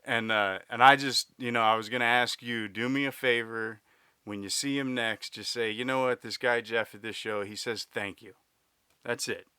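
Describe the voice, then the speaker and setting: Bright, smooth-voiced podcast host man. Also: mid-range voice, steady tone, normal speed, no echo. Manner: easygoing, agreeable, frequent backchannel. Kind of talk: casual interview chat.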